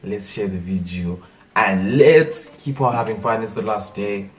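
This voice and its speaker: Young Zimbabwean guy, fairly low voice, lively comedic ranter, recorded from a distance. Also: a little slow. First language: Shona. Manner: animated, exasperated, repeats himself. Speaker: vlogger. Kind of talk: casual comedic vlog rant.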